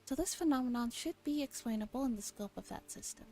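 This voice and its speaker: A grandiose young mad scientist guy acted out by a woman streamer makes pompous declarations in a mellow voice. It has the theatrical air of a visual novel reading, with music behind. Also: fairly high pitch, fast talker, close to an everyday voice. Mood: smug.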